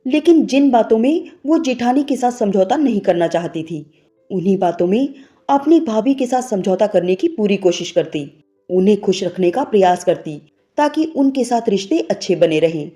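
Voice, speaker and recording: Bright-voiced woman storyteller over music. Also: medium pitch, expressive, normal speed. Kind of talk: scripted narration of a sentimental moral story. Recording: music bed behind the voice. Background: background music.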